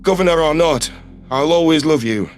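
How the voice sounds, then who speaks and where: A man's voice: a little high, moderate pace, soft background music, voice pushed down, close to an everyday voice. A man voicing a devoted, reassuring human astronaut in love in an audio story. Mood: tender, reassuring. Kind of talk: audio story character dialogue.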